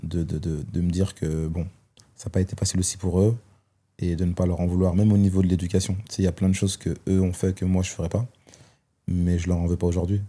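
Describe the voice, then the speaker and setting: Guest, man, 30s: low, warm, dark voice; hesitant, thinking aloud. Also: little pitch movement, quick pace. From Belgium. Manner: reflective, hesitant with many fillers. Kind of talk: personal testimony in conversation.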